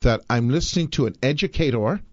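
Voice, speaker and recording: A man hosts a live radio talk show, speaking with theatrical emphasis and a playful streak. His pitch rises and falls in lively swoops, and the dry, dull lo-fi sound is close and plain. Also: brisk, no echo.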